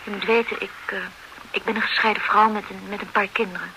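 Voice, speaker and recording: A woman's voice: medium pitch, fairly even intonation, fast talker, sharp tone, slight background noise, rough recording. An actress playing a divorced mother in a radio play, earnestly explaining how she was cheated. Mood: rueful. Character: flustered divorced mother duped by an ad.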